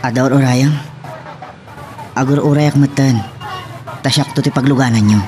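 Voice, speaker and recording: A man's voice: mid-range voice, fairly even intonation, moderate pace, a little mellow, some chatter behind, slight background noise, close to an everyday voice. A man playing a helpful, friendly tricycle driver in an Ilocano drama, with an Ilocano accent and a casual, persuasive manner.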